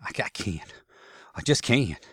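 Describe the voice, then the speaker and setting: A man's voice: mid-range voice, expressive, takes it slowly, sharp tone, husky, dry recording, full character voice. A man voicing an ashamed, pleading young Confederate sharpshooter, stammering in a story reading. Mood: hesitant, distressed.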